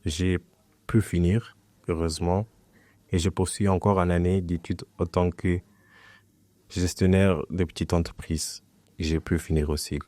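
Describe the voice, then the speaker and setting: A young man from Portugal whose first language is Portuguese, talking as a guest in a relaxed, hesitant way and often restarting his phrases. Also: low-pitched, measured pace, no room sound. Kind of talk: casual conversation.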